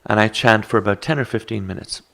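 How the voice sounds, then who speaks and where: An Irish man who teaches meditation, explaining mindfulness in a calm, gently instructive conversational way. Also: fairly low pitch, fast, creaky. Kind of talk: reflective conversation.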